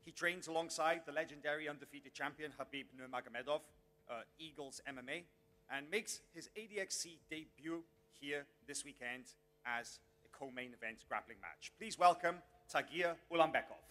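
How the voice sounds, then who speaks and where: Bright-voiced male event host. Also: a little high, normal speed, slight background noise. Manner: formal, announcer-like delivery. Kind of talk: press conference introductions.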